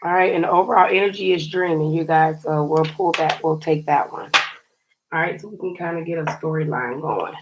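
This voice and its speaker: A woman reading tarot cards for her viewers in a chatty, encouraging way, her voice a little scratchy and raspy today. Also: low-pitched, brisk. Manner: chatty and encouraging, often says "you guys". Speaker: tarot card reader.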